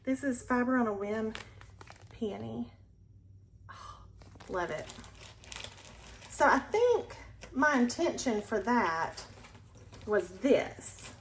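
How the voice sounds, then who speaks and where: Slow-talking, gushing woman crafter. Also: a little high, very animated, slight background noise. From Alabama, US. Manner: chatty, enthusiastic, often drops to a near whisper. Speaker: cross-stitch channel host. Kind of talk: casual show-and-tell chat.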